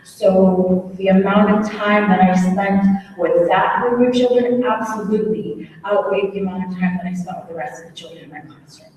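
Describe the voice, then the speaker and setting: A woman who teaches fifth grade, giving an earnest, measured public comment with many pauses and fillers. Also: medium pitch, fairly even intonation, a little mellow. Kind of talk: public comment at a town hall. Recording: echoey hall, mic far off.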